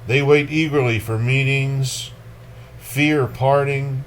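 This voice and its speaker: A man hosting a poetry reading, delivering verse in a dramatic, deliberate manner with casual commentary. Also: mid-range voice, fairly even intonation, a little hiss or hum, poor audio. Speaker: poetry reader and host.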